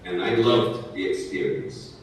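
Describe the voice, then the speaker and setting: A man in his sixties from Brazil, a native Portuguese speaker, talks to a class in a slow, careful way and keeps checking that everyone follows. His voice sits a little low. The sound is very echoey and picked up from a distance, with a faint hiss. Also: steady tone. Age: 60s. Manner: speaks slowly and clearly, checking understanding with "okay?". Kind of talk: talking to students in a language class.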